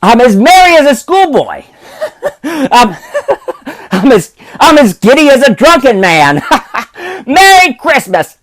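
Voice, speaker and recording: A man's voice: sits high, very animated, moderate pace, pitched above the performer's own voice. A man voicing an elderly miser who is overjoyed, giddy and cackling as he exclaims.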